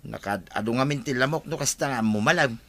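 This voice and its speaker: A man's voice: mid-range voice, a little fast, sharp tone. An actor with an Ilocano accent playing a casual, talkative male neighbour in a comedy drama. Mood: exclamatory.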